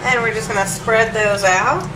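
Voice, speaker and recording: A woman's voice: on the high side, steady tone, moderate pace. A woman with a stuffed-up nose from allergies, casually talking through a home recipe in her kitchen with chatty ums and asides. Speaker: home cook showing a recipe. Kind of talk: casual cooking demo. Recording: echoey room, distant mic, hiss.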